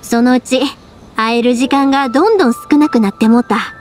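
A woman voicing a cheerful young fireworks maker in a game, speaking with a Kansai accent and a teasing, warm-hearted manner. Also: fairly high pitch, a little fast, lightly acted. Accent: Kansai dialect. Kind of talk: video game voice acting. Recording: game audio with soft music.

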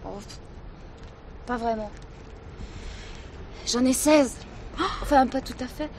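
A woman's voice: on the high side, takes it slowly, darkish tone, a little hiss or hum. An actress playing a naive sixteen-year-old runaway girl in a radio drama, reserved and hesitant. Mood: shy, guarded. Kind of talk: French radio drama acting.

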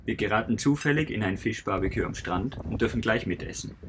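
A man narrating a sailing travel vlog in a calm, matter-of-fact voice-over. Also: fairly low pitch, brisk, background noise.

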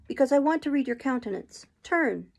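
Lady doing proud young woman in love, earnest n emphatic, kinda high voice w some creak, storybook. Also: lively intonation, normal speed. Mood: astonished, searching.

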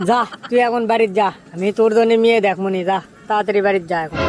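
A man voicing a cheeky young village friend in a comic cartoon, teasing and glib, with a Bogura accent and a pitched-up effect. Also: fairly even intonation, fast talker, over music. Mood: reassuring banter. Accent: Bogura, northern Bangladesh. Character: cheeky young village buddy, teasing self-styled matchmaker.

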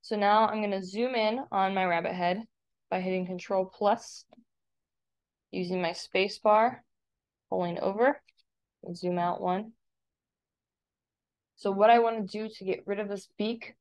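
A woman's voice: mid-range voice, steady tone, unhurried, long pauses. Woman giving an informal step-by-step tutorial. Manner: informal, instructional. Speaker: instructor. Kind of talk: step-by-step software tutorial narration. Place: screen-recording voice-over.

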